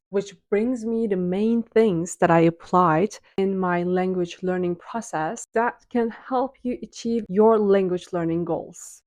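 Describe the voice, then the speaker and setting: A woman's voice: fairly low pitch, a little mellow, dry recording. Turkish-accented woman vlogger, dry sound. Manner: chatty, restarts phrases while explaining. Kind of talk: casual explainer to camera.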